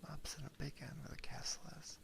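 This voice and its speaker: A woman whispers softly and playfully in a casual ASMR chat, very close to a binaural microphone, with a breathy, intimate delivery. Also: quick pace. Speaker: streamer and ASMR host. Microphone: close binaural ear microphone.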